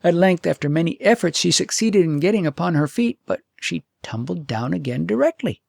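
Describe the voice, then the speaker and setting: A man narrating a fairytale audiobook in an expressive, deliberate storytelling manner. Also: on the high side, creaky, no room sound, clean studio sound.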